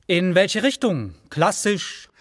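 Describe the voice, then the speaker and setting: An actor playing a brisk, persistent interrogator in a radio drama, curt and probing. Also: male voice, high voice, sing-song, fairly bright tone, no echo, clean studio sound, full character voice. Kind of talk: naturalistic radio drama dialogue.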